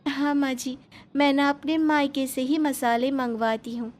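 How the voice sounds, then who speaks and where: A polite young daughter-in-law played by a woman in a cartoon family tale, with a high voice. She explains herself sweetly, self-assured and matter-of-fact. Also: little pitch movement, unhurried, slight background noise. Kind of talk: animated family story dialogue.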